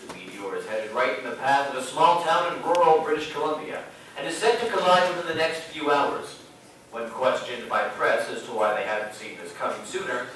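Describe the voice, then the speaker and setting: A man's voice: medium pitch, a bit bright, close to an everyday voice. A man playing a self-important TV news anchorman, reading mock evening news in a formal announcer's delivery. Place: large echoey room, live stage show. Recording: echoey hall from far off, faint noise.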